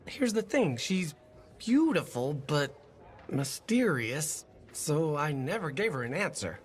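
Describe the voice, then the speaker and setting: An actor playing a friendly, nervous bartender in a video game, chatty, earnest and a little hesitant. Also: male voice, fairly high pitch, big pitch swings, slow pace, slight background noise.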